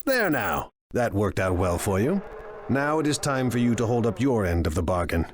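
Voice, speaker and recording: An actor playing a confident, sly crime lord in a video game, sardonic and self-assured. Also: male voice, fairly low pitch, big pitch swings, moderate pace, noisy background. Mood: sly confidence. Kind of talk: scripted video game acting.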